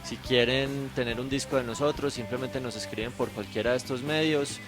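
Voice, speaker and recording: A man, speaking in a band interview and pausing with frequent fillers. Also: mid-range voice, fairly even intonation, music in the background. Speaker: band member. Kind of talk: casual interview answers.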